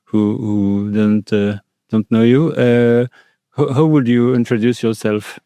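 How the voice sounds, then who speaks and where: A man hosting a radio interview show, asking questions hesitantly with many pauses and restarts. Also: medium pitch, fairly even intonation, dark tone, clean tone, no echo.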